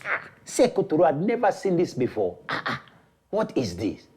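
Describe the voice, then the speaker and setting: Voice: medium pitch, wildly swooping pitch, normal speed, darkish tone, breathy edge. A storyteller voicing a boastful male lizard wrestler in a folktale, exclamatory and disbelieving. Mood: baffled, worried.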